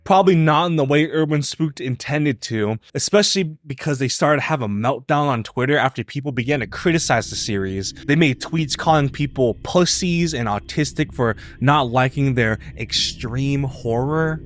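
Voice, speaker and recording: A man's voice: medium pitch, a little hiss or hum. A man giving casual, opinionated video commentary in an informal, slangy way that is loose with swearing. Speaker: video essayist. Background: background music.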